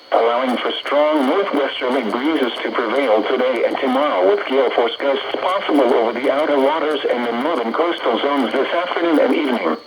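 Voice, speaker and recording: A synthetic man's voice reading a scripted weather bulletin over the radio in formulaic, impersonal, clipped phrases. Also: medium pitch. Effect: synthetic text-to-speech voice over radio. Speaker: automated weather radio announcer. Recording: noisy weather radio broadcast capture.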